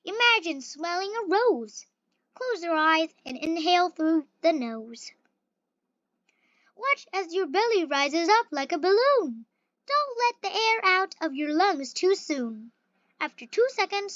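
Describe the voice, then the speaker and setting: A reader with an expressive, carefully phrased delivery, reading a children's picture book aloud. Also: extremely high pitch, no echo, highs cut off. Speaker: story reader.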